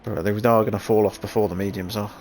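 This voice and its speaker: A man commentating a sim race, thinking aloud in halting restarts, his voice croaky after sneezing. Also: lowish voice, fairly even intonation, darkish tone, slight background noise.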